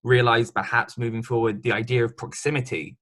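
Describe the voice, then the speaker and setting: A man's voice: medium pitch, level tone, fairly quick, fairly bright tone. A man interviewing a guest in a remote chat, talking chattily and loosely with many ums and likes.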